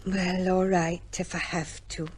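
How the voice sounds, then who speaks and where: A woman's voice: on the low side, level tone, unhurried, a little mellow, breathy edge. An actress playing an affectionate, devoted village woman, fond and coaxing, in an old-time radio drama. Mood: coaxing, wistful.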